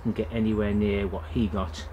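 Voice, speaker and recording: A man's voice: lowish voice, flat delivery, normal speed, warm tone, lo-fi sound, a little hiss or hum. A man talking casually through an allotment vlog outdoors, with plenty of "uh" fillers. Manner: plain-spoken, many "uh" fillers. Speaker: allotment gardening vlogger. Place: outdoor allotment, in and around a greenhouse.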